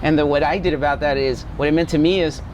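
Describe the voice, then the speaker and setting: Male guest, forty, from Guatemala, slightly high voice, earnest storyteller, clear traffic noise behind. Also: fairly even intonation, quick pace, highs cut off. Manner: earnest, seeks agreement with tag questions. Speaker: interview guest. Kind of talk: personal storytelling in casual interview. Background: street traffic and vehicles.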